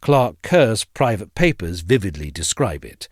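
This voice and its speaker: Low-voiced male narrator with expressive intonation. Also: a little slow, no room sound, studio quality. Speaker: narrator reading an essay aloud. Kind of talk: scripted narration of a history essay.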